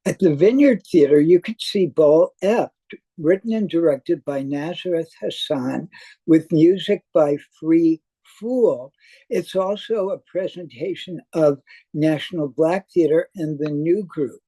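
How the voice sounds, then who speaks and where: A man's voice: on the high side, unhurried, warm tone, slightly rough, rough recording. An older man delivering a scripted theater review.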